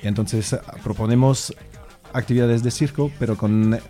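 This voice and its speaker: Mellow, hesitant male speaker. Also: mid-range voice, moderate pace, soft background music. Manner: hesitant, searching for words. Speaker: circus performer and teacher being interviewed. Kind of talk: informal radio interview.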